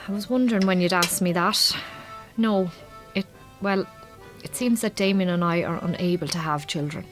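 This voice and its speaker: An actress playing a chatty, kindly middle-aged Irish farmer's wife in a radio drama, with an Irish accent and a frank, colloquial manner. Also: female voice, fairly low pitch, normal speed, faint music behind, lightly acted. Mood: sympathetic, sad. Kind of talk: naturalistic radio drama acting.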